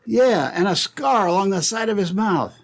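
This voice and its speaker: A man's voice: high-pitched, lively intonation, moderate pace, creaky, exaggerated voice. A man playing a defensive young sled driver in an old-time radio drama, flustered, indignant and protesting. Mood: guarded.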